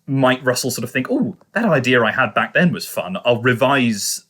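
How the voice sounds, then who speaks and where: A man's voice: medium pitch, animated, normal speed, pushed and bright, slightly rough. A man co-hosting a podcast in casual discussion, speaking in a hesitant, speculative way full of hedging. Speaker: podcast co-host.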